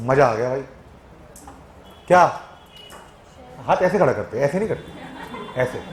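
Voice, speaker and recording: A man's voice: medium pitch, expressive, unhurried, slight background noise. A man from Punjab teaching a live grammar class, teasing and quizzing his students. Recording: echoey classroom.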